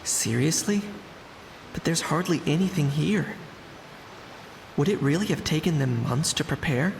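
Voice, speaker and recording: Investigator, male: gravelly, inquisitive, calm inner monologue. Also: fairly high pitch, unhurried, background noise. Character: shrewd young man investigating smugglers. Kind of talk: game narration and monologue.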